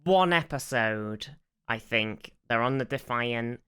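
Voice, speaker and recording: A man's voice: mid-range voice, animated, slow, fairly bright tone, smooth. A man co-hosting a podcast, chatting casually about sci-fi television in rambling explanations with many restarts. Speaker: podcast co-host.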